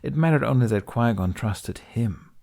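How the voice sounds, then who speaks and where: A man's voice: mid-range voice, lively intonation, normal speed, creaky, a little mellow. A man reading a fan fiction story aloud, narrating expressively and switching into character voices.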